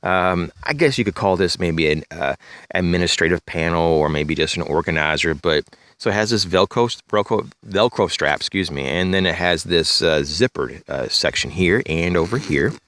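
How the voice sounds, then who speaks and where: A man with a very low voice, a little raspy and congested, talks through a gear review in a loose, rambling way full of "uh" and "um". The sound is dry and close, recorded outdoors among fallen leaves. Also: normal speed, fairly bright tone, no echo. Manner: rambling, many fillers, frequent throat clearing. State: congested, slightly raspy from allergies. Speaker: gear reviewer, channel host. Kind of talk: casual show-and-tell gear review. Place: outdoors in leafy woods, bag on a chair.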